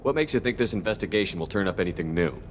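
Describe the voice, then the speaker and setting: An actor playing a resolute military commander hero in a video game, talking in a direct, firm way. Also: male voice, low voice, expressive, rapid-fire, long reverb tail, slight background noise. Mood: firm, questioning. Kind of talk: dramatic video game dialogue.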